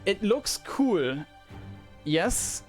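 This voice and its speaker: Male game previewer, slightly high voice, slow hesitant delivery, music underneath. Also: big pitch swings. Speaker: game reviewer commentator. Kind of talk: unscripted preview commentary over gameplay.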